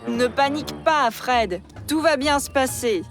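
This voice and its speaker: High-voiced mischievous little boy. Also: measured pace. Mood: bossy, scheming. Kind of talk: animated children's series dubbing. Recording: music behind the voice.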